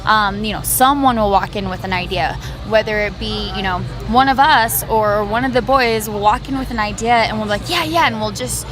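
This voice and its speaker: A woman band singer talking casually in an interview, circling her points with plenty of "you know" fillers. Her voice sits at an ordinary pitch, and loud music plays clearly behind her, recorded outdoors near traffic. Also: a little fast, hardly any pauses.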